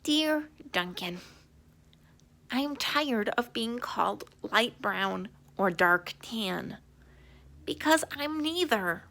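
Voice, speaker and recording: A woman voicing a proud but slighted beige crayon in a children's storybook, deliberate and aggrieved. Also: on the high side, expressive, vocal fry, sharp tone, full character voice. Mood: sulky, aggrieved. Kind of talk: children's storybook read-aloud.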